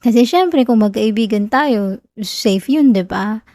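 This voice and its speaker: Casting: woman to play shy new girlfriend, Filipino accent, ASMR roleplay. Soft, warm tone, unhurried delivery, intimate and playfully flustered. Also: a little high, lively intonation, no room sound, clean studio sound. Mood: tender, nervous. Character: shy, flustered young woman teasing her new boyfriend. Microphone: close, dry ASMR microphone.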